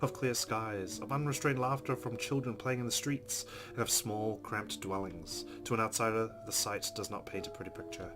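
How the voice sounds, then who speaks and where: A man's voice: lowish voice, fairly even intonation, normal speed, few pauses. A man doing a let's play, reading game narration aloud expressively and adding casual, informal asides. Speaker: let's player. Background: game music.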